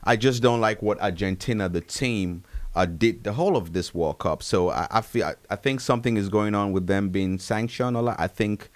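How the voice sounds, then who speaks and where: A man's voice: on the low side, moderate pace, few pauses. A man hosting a podcast, introducing and interviewing his guest in a casual way. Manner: warm introductions, frequent laughs and agreement. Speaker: podcast host.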